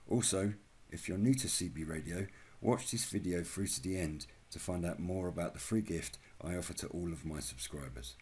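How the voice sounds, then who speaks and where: A middle-aged British man with a husky voice, reading scripted narration as the host of a video channel. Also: deep voice, a little mellow. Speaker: YouTube host.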